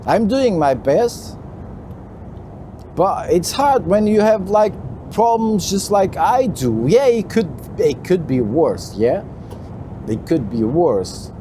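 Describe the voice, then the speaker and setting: A man with a Portuguese accent talks unhurriedly from his truck cab, his voice high yet soft and dark. He stumbles and repeats phrases, leaning on "you know." A faint road and engine hum sits behind him. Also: big pitch swings, slow. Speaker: truck driver vlogger. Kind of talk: casual talk to camera while driving.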